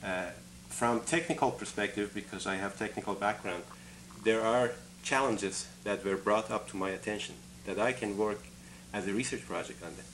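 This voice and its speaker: A man's voice: lowish voice, normal speed, fairly bright tone, slight background noise, rough recording. A middle-aged man whose first language is Farsi, answering a studio interviewer in an earnest, orderly way. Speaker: conference organizer, guest. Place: TV studio set, indoors.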